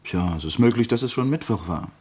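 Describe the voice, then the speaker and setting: An actor playing a defensive husband under police questioning in a radio crime drama, halting and evasive. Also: male voice, mid-range voice, expressive, darkish tone, breathy edge.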